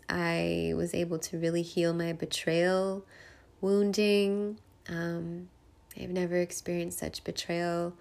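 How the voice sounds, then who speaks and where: A woman's voice: lowish voice, creaky. A young woman, Hungarian by first language, hosting a podcast, talking openly and tearfully about heartbreak and grief, laughing through tears and using many fillers. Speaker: podcast host. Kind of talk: emotional personal monologue.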